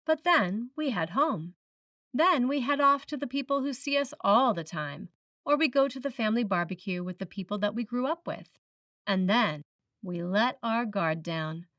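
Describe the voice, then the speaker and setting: A woman preacher reading a written sermon in a measured, reflective manner, putting questions to the listener. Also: on the high side, a bit bright, no echo, studio quality, distant mic. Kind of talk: sermon, read from a script.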